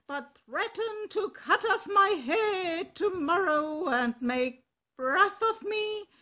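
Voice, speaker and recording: An older woman with a German accent voicing an indignant male rooster with loud, squawking exclamations. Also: extremely high pitch, pitched above the performer's own voice, heavily acted.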